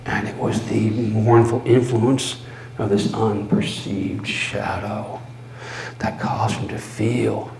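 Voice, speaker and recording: A man voicing a mad, obsessive murderer confessing his crime in a dramatic gothic horror reading, halting and theatrical, moving between breathy whispers and loud outbursts. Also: on the low side, full character voice. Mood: ominous. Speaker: storyteller. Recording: roomy live recording with faint noise.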